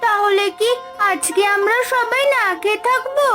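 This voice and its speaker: Very high-pitched little girl. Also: moderate pace. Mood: earnest, concerned. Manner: earnest, childlike. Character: sweet, helpful little village girl. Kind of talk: cartoon folktale acting. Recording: loud music behind the voice.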